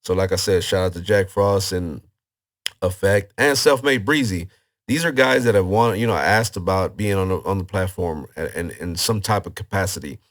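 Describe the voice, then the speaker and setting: Hoarse laid-back host. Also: male voice, deep voice, moderate pace. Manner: relaxed, loose slangy talk. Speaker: podcast host and event organizer. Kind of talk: casual interview chat.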